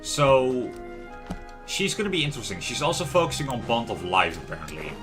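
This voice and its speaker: A man's voice: mid-range voice, big pitch swings, a little fast. A man giving casual, rambling gameplay commentary as a livestream host, chatting to viewers as he goes. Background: lo-fi music and game sound.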